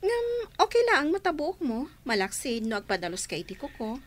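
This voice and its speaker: A woman's voice: on the high side, wildly swooping pitch, fairly quick. An actress playing a chatty, modest young woman in a radio drama. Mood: friendly. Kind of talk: Ilocano radio drama acting.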